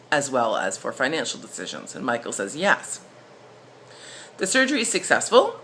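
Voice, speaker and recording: A woman's voice: a bass voice, bright, forceful tone, slight background noise. A woman narrates a case study as an instructor, explaining informally with emphatic stresses.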